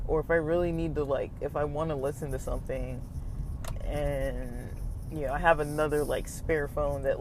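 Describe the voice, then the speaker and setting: A man's voice: a little high, darkish tone. A young man talking casually in a personal vlog, hesitant and rambling with many fillers, his throat slightly scratchy. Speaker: vlogger.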